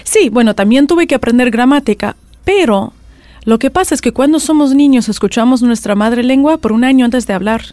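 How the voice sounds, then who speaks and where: A woman's voice: a little high, fairly quick, dry recording. A woman with a mixed Spanish, Argentine and Mexican accent, a language teacher chatting in an interview and telling stories with lots of restarts. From California, USA. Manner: chatty, animated storytelling with restarts. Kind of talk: conversational interview.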